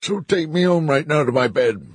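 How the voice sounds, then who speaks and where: A man voicing a stubborn young boy, raised in pitch and insistent. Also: animated, normal speed, husky, no echo. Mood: indignant. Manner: insistent, indignant. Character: stubborn young boy who chews bones.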